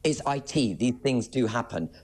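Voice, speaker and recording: A man's voice: medium pitch, animated, normal speed, a little mellow. A man giving live breaking news analysis as an aviation analyst, explaining step by step with hesitant phrasing and stressed key words.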